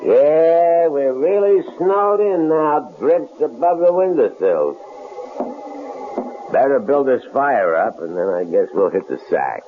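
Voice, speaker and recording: An actor in a 1970s radio drama playing a menacing killer holding captives, taunting them with coolly sardonic, drawling questions. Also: male voice, medium pitch, very animated, slow pace. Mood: mocking ease. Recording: tinny, noisy old radio broadcast recording.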